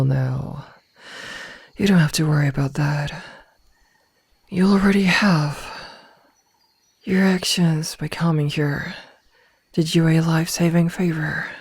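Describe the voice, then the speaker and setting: A woman playing a possessive, menacingly loving female werewolf in an ASMR roleplay, speaking softly and coldly, often slipping into a whisper. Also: low voice, breathy edge, no echo, exaggerated voice. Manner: cold, callous, deliberate word delivery. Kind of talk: ASMR roleplay, slow intimate delivery.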